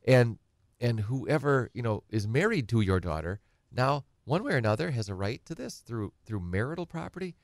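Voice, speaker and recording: A man's voice: medium pitch, big pitch swings, normal speed, dry recording, studio quality. A middle-aged man, a guest attorney explaining estate planning in a rambling, conversational way and acting out clients' questions. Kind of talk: conversational talk show explanation.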